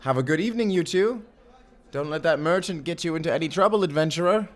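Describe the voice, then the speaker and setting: A man's voice: fairly high pitch, fast, faint music behind, lightly acted. A man playing a self-satisfied tiefling tavern keeper in a fantasy audio drama, breezy, playful and smug. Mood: friendly teasing.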